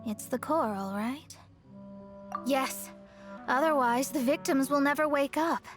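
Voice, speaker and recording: A woman's voice: high voice, measured pace. A woman playing a proud, articulate young female astrologer in a video game, self-assured and slightly haughty. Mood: serious, reflective. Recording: music playing behind.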